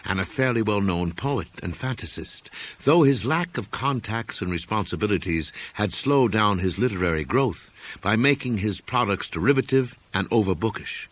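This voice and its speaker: Husky low-voiced man narrating, widely swooping intonation. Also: animated, moderate pace. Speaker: audiobook reader. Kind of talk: scripted literary narration of a horror story.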